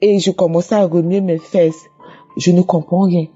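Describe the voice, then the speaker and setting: A woman's voice: lowish voice, steady tone, moderate pace, dark tone, breathy edge, highs cut off, soft background music. A woman giving a fervent testimony, repeating phrases and breaking into praise. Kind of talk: emotional spoken testimony.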